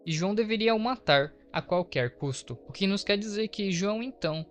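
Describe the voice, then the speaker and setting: Higher-voiced Brazilian man over background music. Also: expressive, a little fast. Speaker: video host, narrator. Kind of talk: horror story recap commentary. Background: faint to clear background music.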